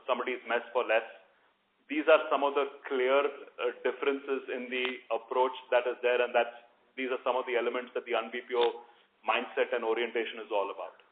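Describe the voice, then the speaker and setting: Even-toned male executive on phone. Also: medium pitch, a little hiss or hum. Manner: business jargon, careful and deliberate. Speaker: company executive answering investor questions. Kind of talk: measured corporate earnings-call answers. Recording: narrowband phone conference line.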